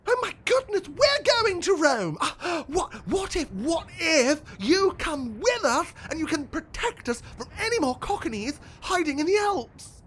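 A woman's voice: very high voice, very animated, a little slow, full character voice. A woman playing a cheerful, overly polite leader of a charity cycling club who talks in a gushing, apologetic way. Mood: eager.

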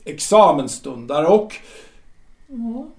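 An actor playing a solemn otherworldly guide of the dead, speaking slowly and questioning like a patient teacher. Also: male voice, a little high, big pitch swings. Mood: solemn, chanting. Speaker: guide of the afterlife. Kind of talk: audio drama acting. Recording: roomy reverberant room.

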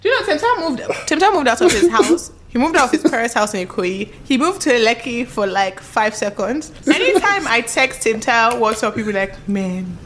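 Animated, teasing Nigerian woman hosting trivia. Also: on the high side, moderate pace, hardly any pauses. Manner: animated, teasing, dramatic emphasis. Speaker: trivia quiz host. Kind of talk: casual storytelling and quiz banter.

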